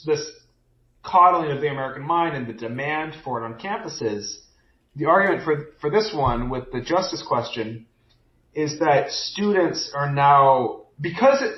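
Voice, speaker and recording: A man co-hosting a podcast, discussing a book in casual chat and thinking aloud with many restarts. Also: on the high side. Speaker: podcast co-host. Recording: roomy, dull lo-fi sound.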